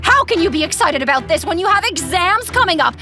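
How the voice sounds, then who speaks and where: A woman voicing a strict, angry middle-aged mother in a cartoon story, scolding loudly. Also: very high-pitched, animated, a little fast, over music. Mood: outraged. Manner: scolding, shouting.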